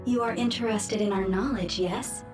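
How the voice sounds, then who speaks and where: A woman's voice: medium pitch, fairly even intonation, distant mic, music in the background, close to an everyday voice. Formal, deferential female temple attendant played by an actress. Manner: measured, deferential. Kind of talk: English video game dub acting.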